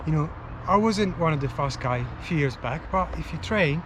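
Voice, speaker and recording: A man from Italy with an Italian accent, a runner speaking in plain, halting English while out running on a park trail. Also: fairly high pitch, lively intonation, background noise. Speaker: club runner, guest.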